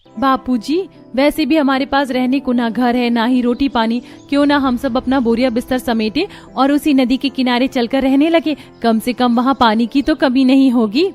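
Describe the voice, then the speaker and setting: A woman voicing a chatty young village daughter-in-law in a cartoon, expressive and colloquial. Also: sits high, moderate pace, soft background music, lightly acted. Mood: eager. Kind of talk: Hindi cartoon story dub.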